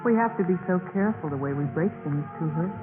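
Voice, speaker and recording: A woman's voice: lowish voice, fast talker, close to an everyday voice. Tinny vintage-radio college girl played by a woman. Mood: wistful, hesitant. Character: thoughtful young college woman, anxious about her mother. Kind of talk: 1950s radio drama acting. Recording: tinny 1950s radio recording with music.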